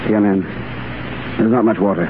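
An actor playing a steely, fatalistic Foreign Legion sergeant in a 1930s radio drama, cool and commanding, with clipped speech. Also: male voice, on the low side, fairly even intonation, moderate pace, phone-quality sound, close to an everyday voice. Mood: stern, controlled. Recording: thin, hissy 1930s radio recording.

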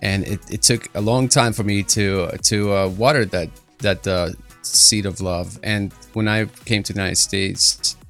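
Deep, gravelly man from Ukraine. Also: a bit bright. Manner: earnest, repeats words while searching for them. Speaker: interview guest. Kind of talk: personal testimony in an interview.

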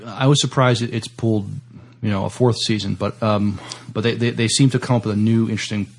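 A man co-hosting a podcast, chatting casually and reading entertainment news in a halting, stammering way. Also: fairly low pitch, steady tone, slightly rough. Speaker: podcast co-host.

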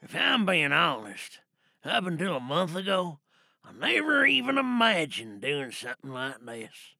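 A man playing an eager, obsessive killer, delivering an excitable, emphatic confession in an audio drama monologue. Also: on the high side, a little slow, creaky, no echo, studio quality, faint music behind, full character voice. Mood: eager, intense. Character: eager, obsessive killer gushing to chosen victim.

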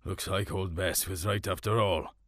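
A man voicing a gruff, blunt, determined middle-aged farmer father in an audio story. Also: deep voice, steady tone, normal speed, a bit bright, no echo, studio quality, pitched below the performer's own voice. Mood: encouraging.

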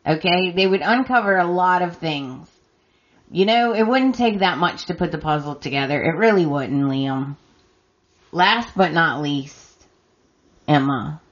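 A woman's voice: on the low side, lively intonation, unhurried, lo-fi sound. A woman hosting a solo recap podcast, talking emphatically and addressing the characters directly while her voice turns scratchy from losing it. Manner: emphatic, talks straight to the characters. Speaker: podcast host.

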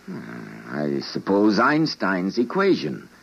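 An earnest, low-voiced radio-drama scientist. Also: male voice, wildly swooping pitch, slow pace, gravelly, breathy edge, full character voice. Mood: earnest, troubled. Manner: intense, incredulous, formal. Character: earnest, morally troubled male scientist, a doctor. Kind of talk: old-time radio drama acting. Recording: muffled old radio recording.

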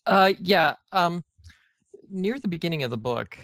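A man with a slightly high voice asks questions in a discussion, recorded with a dry sound free of echo. He is halting and careful, feeling his way through each phrase. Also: expressive, a bit bright. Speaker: book club participant asking questions. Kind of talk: conversational question to a guest.

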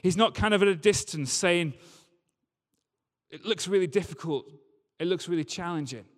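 Male preacher, high voice, emphatic sermon delivery, repeated phrases, long hall reverb. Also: moderate pace. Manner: emphatic, rhetorical questions and repetition. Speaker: preacher, church leader. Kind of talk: sermon to a congregation.

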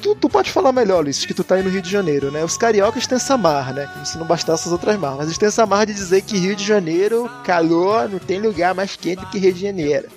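Man, high voice, podcast host, casual joking chat, faint music behind. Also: quick pace, hardly any pauses. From Manaus, Brazil. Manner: relaxed, chatty, joking.

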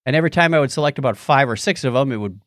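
A man with a mid-pitched voice that swings up and down in lively fashion as he talks. He is a podcast host chatting casually about tech, recorded close and dry. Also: very fast, no echo.

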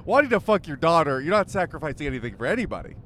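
A man co-hosting a podcast while driving, trading sarcastic, deadpan quips in casual chat. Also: on the high side, rapid-fire, slight background noise. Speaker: podcast co-host. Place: driving a car.

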